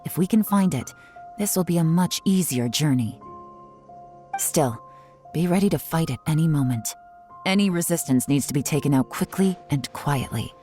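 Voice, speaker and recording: A woman's voice: low-pitched, lively intonation, normal speed, warm tone. An actress playing a shrewd, composed young female spymaster in a game, with a cool, sly, commanding manner. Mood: cautious, assured. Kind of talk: dramatic game voice acting. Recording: music behind the voice. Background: game music.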